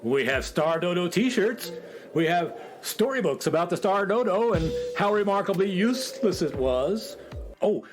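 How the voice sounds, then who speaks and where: A man's voice: high-pitched, expressive, unhurried. An actor playing a cheerfully dim male alien guide in a children's radio drama, chatting like a chipper salesman. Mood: salesy. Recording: faint music behind.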